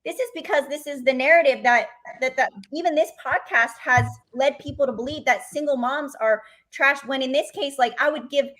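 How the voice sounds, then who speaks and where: A woman's voice: sits high, a little fast, hardly any pauses, a bit bright. A pregnant woman guest on a podcast, talking earnestly and emphatically about her own situation, with many restarts. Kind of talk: candid personal account on a call-in podcast.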